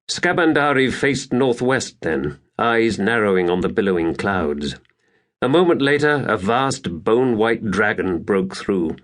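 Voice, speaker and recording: A man narrating an epic fantasy audiobook in a measured, theatrical, scripted style. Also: lowish voice.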